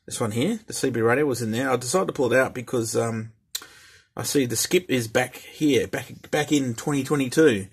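Middle-aged Australian male hobby host, husky tone, hesitant and repetitive, casual delivery. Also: mid-range voice, normal speed. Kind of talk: casual hobby vlog talk.

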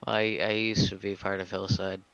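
A man speaking in improvised roleplay over game voice chat, with halting, hesitant phrasing. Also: low voice, level tone, a bit bright, no room sound, lo-fi sound. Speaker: player in an online zombie roleplay game. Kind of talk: improvised in-character storytelling and chat. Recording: faint noise on game voice chat.

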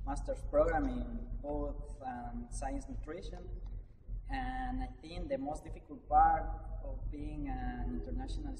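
A young woman from Ecuador, a graduate student, speaking shyly in simple sentences. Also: low-pitched. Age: young adult. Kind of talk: unscripted personal remarks. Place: large chapel with stone walls, indoors. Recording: distant echoey chapel with clear room noise.

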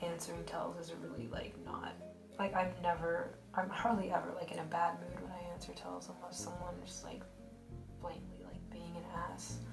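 A woman chatting in a casual voice-over while drawing, with a flat, monotonous, blunt way of talking full of fillers. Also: fairly low pitch, creaky. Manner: flat, monotonous speech pattern; blunt and rambling, many fillers. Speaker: art vlogger. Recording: roomy voice over background music.

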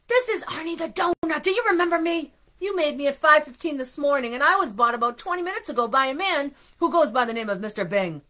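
A woman plays a naive, chatty young donut from a children's storybook. He is theatrical and easily affronted, speaking in a high, very animated voice full of exclamation. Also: a little fast. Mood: frantic.

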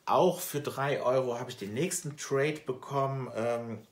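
A middle-aged man, chatting casually and haltingly to camera as a video host. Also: medium pitch. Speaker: comic collector and video host. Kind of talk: casual chat to camera, showing purchases. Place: small room at home with shelves. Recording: roomy, echoing indoor sound.

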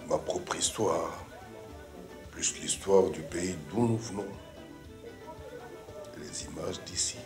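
A reflective middle-aged writer in a film drama. His low voice moves haltingly as he philosophises. The room is echoey, with music clearly behind him. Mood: pensive. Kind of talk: naturalistic film dialogue. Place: dining room at a dinner table. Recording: roomy interior with music behind.

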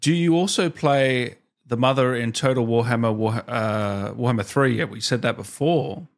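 A man in his thirties hosts a casual chat. His voice has an average male pitch. He talks close to the microphone in a small indoor room. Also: normal speed, creaky. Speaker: interview host. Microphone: studio mic close up, with headset.

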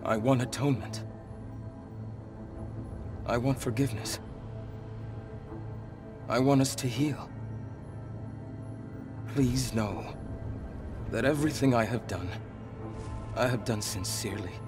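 A man acts out an ancient leader of monsters in a game cutscene, solemn and full of remorse. He speaks in measured, earnest phrases with a mid-pitched voice, persuasive and grave, over prominent music. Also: natural voice. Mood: remorseful. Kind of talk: dramatic video game cutscene acting.

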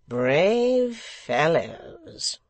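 A man's voice: on the high side, very animated, drawn-out words, creaky, dry recording, voice pushed up, exaggerated voice. A man voicing a scheming secretary in a storybook, speaking in mild, pastor-like, insinuating tones that draw out the words. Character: smooth, scheming, falsely mild male secretary.

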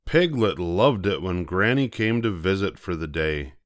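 An older man narrating a children's story expressively, with deliberate pauses for effect. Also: low voice, lively intonation, no room sound, clean studio sound.

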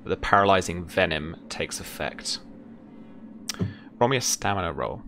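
Very deep, creaky-voiced young man. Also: expressive, moderate pace. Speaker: game master. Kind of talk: improvised tabletop game narration and casual rules talk. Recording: music behind the voice.

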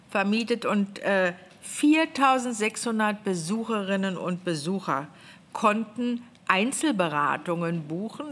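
A woman's voice: medium pitch, fairly bright tone. A woman in her fifties, a government official, reporting to a parliamentary committee in a meeting room, talking freely and animatedly, often restarting her phrases. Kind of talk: spontaneous spoken report. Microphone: gooseneck desk microphone.

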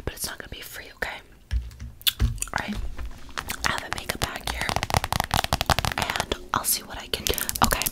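A woman's voice: extremely low pitch, pitched below the performer's own voice. Woman whispering as a snobby, impatient mean girl. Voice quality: breathy whisper. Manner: curt, condescending, fast. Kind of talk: whispered ASMR roleplay. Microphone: close desktop condenser microphone.

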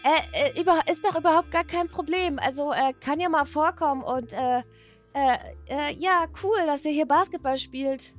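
A high-pitched woman acting as a teenage schoolgirl, chatty and theatrical, talks in exaggerated teen slang. It sounds like an improvised toy story video, with music behind the voice. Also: moderate pace, close to an everyday voice. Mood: flustered, smitten. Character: chatty teenage schoolgirls, dramatic and easily bored. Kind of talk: improvised doll-play storytelling with character voices.

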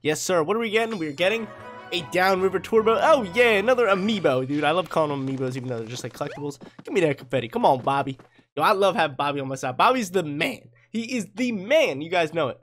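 Young male let's-play commentator, a bit hoarse, fast and chatty, somewhat high voice, headset mic in a room. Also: animated, fairly bright tone. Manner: hyped, chatty, slangy. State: slightly hoarse from a sore throat. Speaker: gaming YouTuber. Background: faint game music.

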